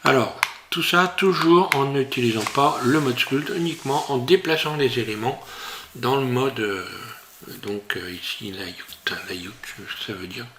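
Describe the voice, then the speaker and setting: A man teaching a software tutorial while he works on screen, talking in a hesitant, casual way full of fillers and restarts. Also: mid-range voice, measured pace, a little hiss or hum. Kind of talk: screen-recorded tutorial narration.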